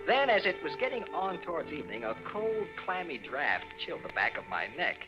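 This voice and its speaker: An actor playing a fussy, exasperated trailer owner with an American accent and proper wording, in 1950s radio drama style. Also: male voice, on the high side, very animated, brisk. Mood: wry, worried. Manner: proper wording, indignant, put-upon. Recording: muffled old radio recording with music.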